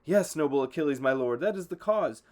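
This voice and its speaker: A man's voice: on the high side, expressive, fairly quick, a little mellow, voice pushed up, lightly acted. A man voicing a distraught young male squire who implores his lord. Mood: pleading.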